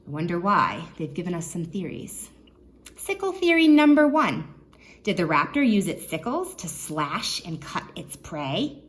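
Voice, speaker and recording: A woman children's librarian reading a nonfiction book aloud, expressively, pausing to put questions to young listeners. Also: on the low side, sing-song.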